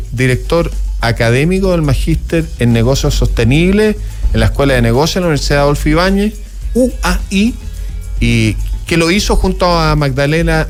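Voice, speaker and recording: A man hosting a casual radio interview, talking in long rambling turns with asides and restarts. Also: fairly high pitch. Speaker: radio host.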